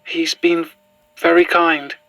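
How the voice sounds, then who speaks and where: A worn-out young woman in a found-footage horror drama. Her voice is bright and very dry, with halting, stammered phrasing. She is shaken but stubborn and bitter underneath. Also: lowish voice, level tone, vocal fry, no room sound, faint music behind, heavily acted. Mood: weary, shaken. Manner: hesitant, stammering, sighing.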